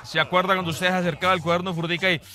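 Man playing a high-voiced Colombian fair hawker. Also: flat delivery, racing, close to an everyday voice. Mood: hawking. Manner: repetitive sales patter. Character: chatty, eager male fair hawker phoning in. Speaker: comedian on a radio morning show. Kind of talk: comic radio sketch, market barker patter. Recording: music behind the voice.

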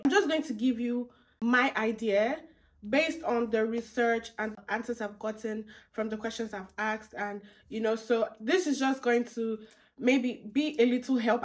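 A young woman in her twenties giving casual advice straight to camera, chatty and repetitive, calling her viewers "guys". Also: on the high side. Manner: chatty, repeats phrases, talks to viewers as "guys". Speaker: vlogger. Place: indoor room with curtains behind.

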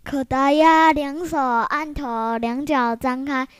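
A woman's voice: high-pitched, fairly even intonation, slow pace, no room sound, studio quality. A very young female narrator, reading a scripted children's story. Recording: loud music behind. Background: music.

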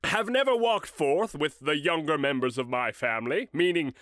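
A man voicing a jovial giant spirit in a storybook, hearty and commanding in a drawn-out delivery. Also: a little high, expressive, a bit bright, dry recording, clean studio sound.

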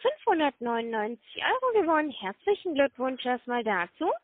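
A woman working as a telemarketer, speaking in a formal, brisk, scripted way on a sales call. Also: sits high, very animated, no room sound. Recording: dry narrow phone line.

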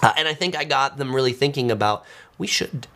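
A man in his forties with a middle-pitched voice hosts a casual livestream from a small home studio. He reads viewer comments aloud and answers them conversationally, as though speaking to one person across from him. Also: expressive, fairly quick, gravelly, bright, forceful tone. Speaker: host of a travel video channel.

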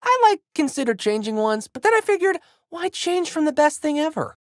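A man's voice: extremely high pitch, very animated, brisk, no room sound, studio quality. A man voicing a plucky, goofy teenage boy hero with slangy, exuberant delivery for a video game. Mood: casual, musing. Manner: exuberant, slangy surfer-dude boyishness. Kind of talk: video game character voice lines.

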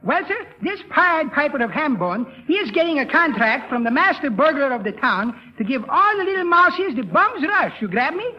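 A man's voice: very high voice, sing-song, normal speed, few pauses. A man playing a cheerful immigrant who mangles his English, in 1930s radio comedy style. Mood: jovial, storytelling. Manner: malapropisms and tangled grammar. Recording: dull vintage 1930s radio recording.

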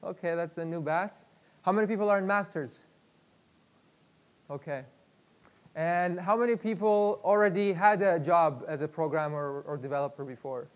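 A man's voice: a little high, fairly even intonation, moderate pace, long pauses. Chatty man from Iraq speaking in a lecture room. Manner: chatty, repeats words, many fillers. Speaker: guest speaker. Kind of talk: live workshop talk. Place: lecture room with projector screen.

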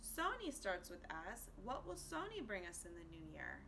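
A woman's voice: medium pitch, wildly swooping pitch, moderate pace, background noise. A woman voicing an eager, inquisitive little boy in a children's storybook. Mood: curious. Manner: inquisitive, earnest.

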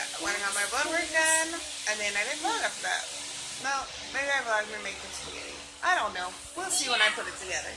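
A young woman talks to her phone camera in a chatty, rambling way, her voice sitting a little high. The recording is noisy, with a clear hiss under her voice. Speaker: vlogger. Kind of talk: casual family vlog. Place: home bedroom and parked car at night. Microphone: phone camera at arm's length.

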